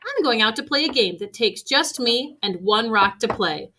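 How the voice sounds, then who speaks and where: Woman, children's read-aloud storyteller. Higher-pitched, lively and varied delivery, leaning on key phrases, faint noise behind. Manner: expressive, deliberate emphasis on key phrases.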